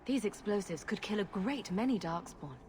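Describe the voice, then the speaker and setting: A woman's voice: mid-range voice, animated, moderate pace, darkish tone, close to an everyday voice. An actress playing a composed, commanding female adventurer in a fantasy video game, direct and probing. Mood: resolute. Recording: echoing reverb with background noise.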